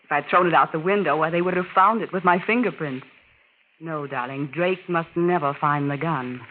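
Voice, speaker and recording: An actress playing a scheming, coaxing wife in a melodramatic 1940s radio style. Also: female voice, deep voice, normal speed, lightly acted. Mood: sly, smug. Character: scheming, coaxing adulterous wife, breathlessly devoted to her lover. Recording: tinny, hissy 1940s radio recording.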